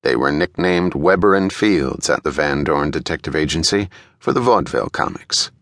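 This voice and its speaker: A man's voice: rumbling low, animated, normal speed, fairly bright tone, no room sound. A man reading a thriller audiobook as narrator in a dramatic, scripted storytelling style.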